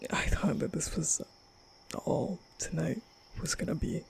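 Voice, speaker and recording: A man playing a nervous, kind-hearted young vampire in an ASMR roleplay, speaking softly and often whispering, with hesitant, flustered stammering. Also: on the high side, a little slow, slight background noise. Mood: hesitant, softened. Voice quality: soft, breathy, often whispering.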